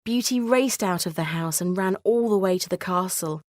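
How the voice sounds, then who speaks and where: Low-pitched woman reading a bedtime story. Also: normal speed, darkish tone, dry recording, studio quality. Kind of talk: scripted children's bedtime story narration.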